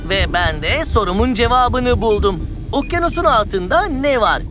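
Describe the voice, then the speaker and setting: Woman voicing Blippi, a high, excitable boyish cartoon adventurer. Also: normal speed, over music, natural voice. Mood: curious, cheerful. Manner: exclamatory, wondering, explains things simply. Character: Blippi, cheerful, curious young male cartoon adventurer. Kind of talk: children's cartoon dubbing in Turkish.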